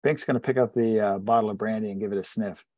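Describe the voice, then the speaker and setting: A man's voice: fairly low pitch, fairly even intonation, fast talker, no room sound, dull audio. A man playing a tabletop role-playing game in casual banter with the group.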